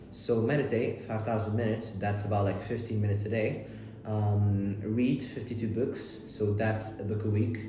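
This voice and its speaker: A young man with Arabic as his first language talks casually to camera, stumbling and restarting as he gives advice. His voice sits low. The room rings with heavy echo, and steady background noise hums beneath him. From Lebanon. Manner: fast, repetitive, with many restarts and fillers. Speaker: self-improvement vlogger.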